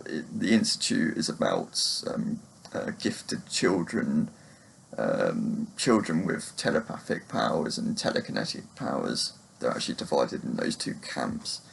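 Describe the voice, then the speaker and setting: A man giving a casual, unscripted book review, speaking in a halting, hesitant way with long pauses. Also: sits low, vocal fry, slight background noise. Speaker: book reviewer, video blogger.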